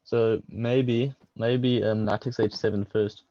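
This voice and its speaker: A man's voice: on the low side, level tone, slow, lo-fi sound. A man co-commentating a chess match, working through move sequences aloud in a thoughtful way. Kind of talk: chess commentary, calculating variations.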